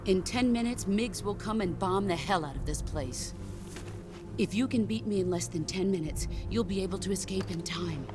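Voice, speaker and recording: An actress playing a stoic, battle-hardened middle-aged soldier and mentor in a game cutscene, speaking gravely and deliberately. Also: female voice, mid-range voice, close to an everyday voice. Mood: solemn resolve. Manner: measured, deliberate, grave delivery. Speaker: video game character. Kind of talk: dramatic cutscene monologue. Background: orchestral music.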